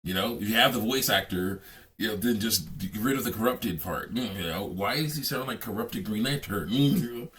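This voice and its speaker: A man with a somewhat low voice gives casual commentary on a video game. His delivery is rambling and repetitive, with an exasperated, critical edge. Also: lively intonation, a little fast, fairly bright tone. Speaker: reaction video host.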